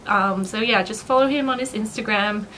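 A woman's voice: medium pitch, normal speed, pushed and bright. Chatty young woman in an echoey room. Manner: chatty, many fillers, laughs often. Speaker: vlogger and dog owner. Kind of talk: casual vlog talk to camera. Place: living room floor, then a bedroom vanity. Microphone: camera across the room. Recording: roomy, distant, noisy.

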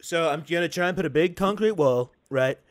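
A man's voice: fairly high pitch, a little fast, no echo, clean studio sound, lightly acted. A man doing an impression of a brash, repetitive older male politician on a prank call. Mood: brash, insistent. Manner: repetitive, emphatic salesman-like phrasing. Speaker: prank caller. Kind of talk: improvised prank phone call.

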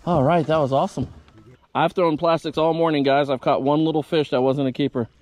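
A man's voice: fairly high pitch, fairly even intonation, a little fast, a little mellow, a little hiss or hum. A man hosting a fishing vlog, talking casually and folksily to viewers from an open boat on a lake. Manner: folksy, addresses viewers as y'all and guys. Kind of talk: casual chat while fishing, talking to camera.

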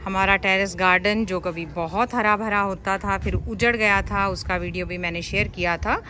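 A woman's voice: medium pitch, steady tone, moderate pace, bright, forceful tone, slight background noise. A woman casually narrating a garden tour as a vlogger.

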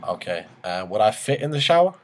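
A man's voice: lowish voice, very animated, brisk. A man in his twenties making a joking phone call in a cheeky, swaggering, slangy way from a small room. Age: 20s. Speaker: prank caller and video host.

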